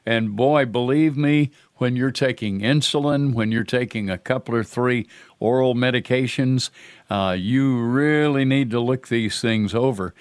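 A man's voice: medium pitch, unhurried, clear, resonant tone, no echo. An older man hosting a talk radio show, earnest and folksy in a conversational way.

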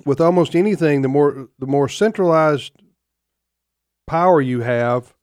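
A man in his fifties hosting a radio talk show, speaking in a folksy, opinionated way with many halting fillers. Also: on the high side, darkish tone, no room sound, clean studio sound. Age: 50s.

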